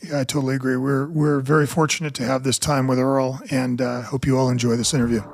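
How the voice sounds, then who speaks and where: A man's voice: medium pitch, level tone, fast talker, vocal fry. A man co-hosting a podcast, asking a guest direct, friendly interview questions.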